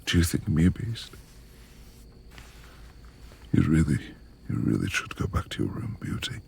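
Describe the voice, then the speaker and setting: A man playing a reclusive, brooding lord of a manor in an intimate ASMR romance roleplay, speaking formally and haltingly in a gravelly, husky, hushed voice. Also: a bass voice, sing-song, slight background noise, exaggerated voice. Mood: somber, confessing. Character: reclusive brooding male manor lord, tender beneath gruff exterior.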